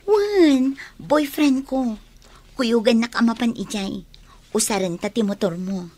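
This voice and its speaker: A woman's voice: on the high side, a little slow, dark tone. An actress with an Ilocano Filipino accent playing a gushing, boastful young woman in a radio drama. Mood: coaxing. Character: boastful young woman chasing a rich boyfriend.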